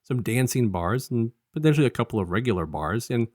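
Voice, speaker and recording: A man co-hosting a casual review podcast, talking in a halting, rambling way and often restarting his sentences. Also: mid-range voice, animated, a little mellow, no echo, clean studio sound. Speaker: podcast co-host.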